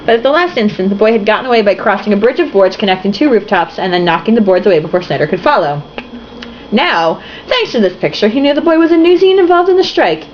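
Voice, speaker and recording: A woman's voice: medium pitch, sing-song, quick pace, highs cut off, a little hiss or hum. Tipsy young woman reading aloud. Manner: swearing, giggling asides. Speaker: reader. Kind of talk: reading a novel aloud with comments.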